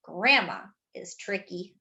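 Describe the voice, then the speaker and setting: A woman reading a children's picture book aloud, expressively and with animated delivery for young listeners. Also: on the low side. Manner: animated, pausing to explain words.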